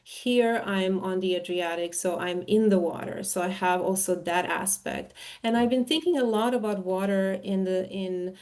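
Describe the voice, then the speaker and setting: A woman from Serbia presenting her own art in a talk, speaking in a searching way with frequent pauses and self-interruptions. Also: medium pitch, a little mellow. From Serbia, former Yugoslavia. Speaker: artist, interviewee.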